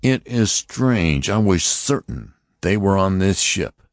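Guy doing a stern martian officer, slow and threatening, lively rising pitch, bone-dry sound. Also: no echo, heavily acted. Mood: menacing. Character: stern male Martian officer interrogating passengers.

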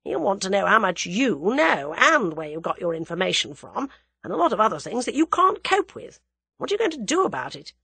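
Lady doing a dry doubtful young english chap from a storybook, sensible, bit husky, lively intonation. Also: mid-range voice, dry recording, pitched above the performer's own voice, full character voice. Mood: serious, doubtful. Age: young adult. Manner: measured, sceptical, dry. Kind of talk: dramatised audiobook reading.

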